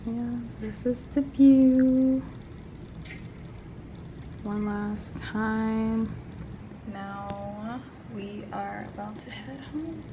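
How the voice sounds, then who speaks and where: Hoarse, raspy young woman gushing on a vlog. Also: a little high, extremely slow, pauses often, dull audio. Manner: gushing, chatty, peppered with "like" and "literally". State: hoarse after a concert. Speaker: vlogger. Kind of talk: casual vlog chat to camera. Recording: clear background ambience noise.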